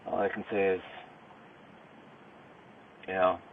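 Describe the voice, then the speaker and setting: A man under arrest talking casually with police in a halting way, often repeating his phrases. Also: sits low, little pitch movement. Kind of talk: casual conversation during an arrest. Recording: noisy, tinny police body-camera or vehicle audio.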